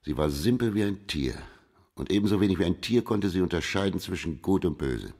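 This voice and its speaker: Low-voiced hard-boiled detective. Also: male voice, lively intonation, fairly quick, vocal fry, darkish tone, slightly rough, full character voice. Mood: dry, terse. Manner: terse, dry, unsentimental. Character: matter-of-fact hard-boiled private detective. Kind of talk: radio crime drama acting.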